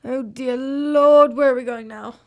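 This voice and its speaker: A woman playing a puzzled, loyal gentleman companion and storyteller in a radio drama, earnest and old-fashioned in phrasing. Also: sits high, steady tone, measured pace. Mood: astonished.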